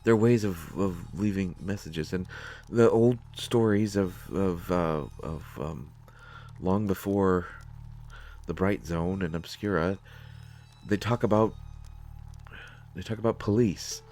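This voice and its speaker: A nervous, bookish man surviving in a science-fiction world , acted by a man. His low voice moves slowly, stumbling and stammering as he searches for words. It is performed as an intimate solo audio drama. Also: darkish tone, slight background noise. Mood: puzzled, awkward. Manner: hesitant, stammering, halting. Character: nervous, bookish male survivor of a sci-fi wasteland. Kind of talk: scripted sci-fi audio roleplay, solo acting.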